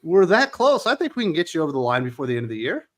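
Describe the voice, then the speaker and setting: A man with a high voice that jumps all over the place hosts a relaxed comic book talk show. He ribs his guest and laughs readily. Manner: teasing, jokey, quick to laugh. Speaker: podcast host. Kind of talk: casual comic book chat.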